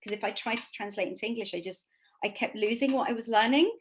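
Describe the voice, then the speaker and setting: A woman speaking as an interviewed author, giving informal, reflective answers that come out haltingly as she thinks. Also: on the high side, a little hiss or hum.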